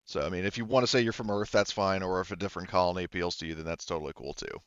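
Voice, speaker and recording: Low, smooth-voiced man, casual game master. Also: steady tone, rapid-fire, no echo, muffled recording. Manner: easygoing, informal, explanatory. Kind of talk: casual explanation of a tabletop game.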